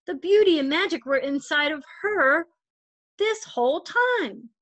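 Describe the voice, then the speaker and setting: A woman's voice: high voice, lively intonation, unhurried, fairly bright tone, no echo. A woman narrating a children's storybook as a volunteer storytime reader.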